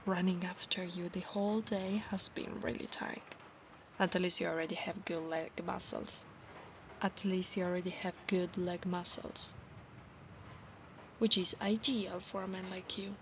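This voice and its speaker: A woman with an Italian accent voicing an affectionate, possessive centaur knight in a soft ASMR roleplay, often slipping into breathy whispers. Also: lowish voice, level tone, unhurried, a little hiss or hum, low-quality recording. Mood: tired, teasing. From Italy.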